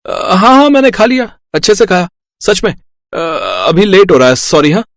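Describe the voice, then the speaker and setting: A man's voice: high-pitched, very animated, moderate pace, no echo, clean studio sound. A man playing a polite, evasive young college boyfriend in a moral story. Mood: polite, awkward. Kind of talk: animated story dialogue.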